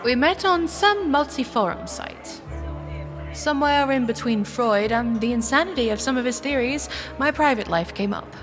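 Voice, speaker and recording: A woman's voice: high-pitched, animated, normal speed, over music. A woman playing an anxious, sardonic young woman, narrating and acting in a first-person romance audio drama. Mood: wry, brooding. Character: anxious, sardonic young woman, broke and flustered, defiant underneath.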